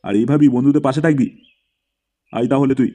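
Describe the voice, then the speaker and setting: A man's voice: mid-range voice, steady tone, a little fast, warm tone, natural voice. A man voicing a kindly, trusting middle-aged father in a comedy cartoon.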